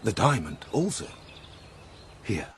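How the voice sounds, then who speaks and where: A cocky gallant knight, slow swoopy declaiming, some noise behind him. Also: male voice, lowish voice, sing-song, vocal fry, exaggerated voice. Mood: wry, courtly. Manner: flamboyant, declamatory. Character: gallant, mocking, carefree knightly prince.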